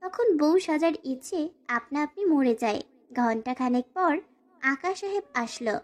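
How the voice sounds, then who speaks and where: A woman's voice: high voice, expressive, moderate pace. A woman playing a frightened, pleading young student bride in a dramatized first-person romantic audio story. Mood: resigned, wistful. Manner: plaintive, pleading.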